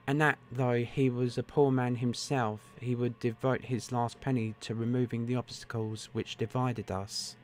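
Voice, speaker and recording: A man's voice: medium pitch, a little mellow, a little hiss or hum, natural voice. A man reading aloud, voicing a desperate, betrayed Victorian woman with raised, pleading outbursts.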